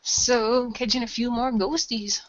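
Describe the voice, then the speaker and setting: A woman's voice: on the high side, steady tone, a little slow, muffled recording. A woman improvising casual, slangy, sarcastic banter as a player in a radio role play.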